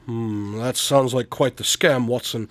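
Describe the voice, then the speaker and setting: An actor playing an eccentric, condescending detective-inventor in a radio drama, speaking in formal, precise, calmly pompous diction. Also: male voice, mid-range voice, a little slow, no echo, clean studio sound, close to an everyday voice. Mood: reluctant, pompous.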